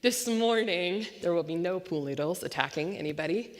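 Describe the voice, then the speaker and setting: A woman pastor preaching a sermon with an unusually loud voice, talking fast and slipping in self-deprecating jokes. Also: on the low side, lively intonation. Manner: self-deprecating joking asides; tends to speak fast. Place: church sanctuary. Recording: long church-hall reverb.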